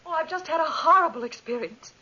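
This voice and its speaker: An actress playing a frightened, sincere young wife, halting and breathless, in 1940s radio drama style. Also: female voice, sits high, animated, bright, forceful tone. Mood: shaken. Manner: breathless, halting, repeats words. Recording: muffled 1940s radio recording.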